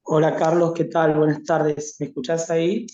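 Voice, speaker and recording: A man giving a courteous, deliberate comment as an audience member in an online discussion. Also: fairly high pitch, flat delivery, mellow. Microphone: video call. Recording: roomy, echoing, dull call audio.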